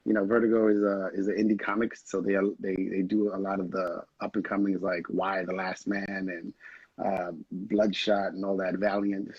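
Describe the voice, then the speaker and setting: Young man from Haiti, husky low voice, dull video-call sound, relaxed rambling talk. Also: no room sound. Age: young adult. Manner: relaxed, rambling, laughs often. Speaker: guest, film director. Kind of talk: casual interview chat.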